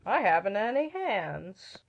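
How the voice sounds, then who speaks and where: A woman voicing a proud, indignant hen with a shrill, huffy delivery in a storybook. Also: sing-song, takes it slowly, vocal fry, muffled recording, full character voice. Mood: matter-of-fact. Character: proud, sassy, indignant female yellow hen.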